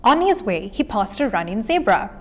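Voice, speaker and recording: A woman's voice: medium pitch, big pitch swings, moderate pace. A woman reading a children's storybook aloud. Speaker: story reader.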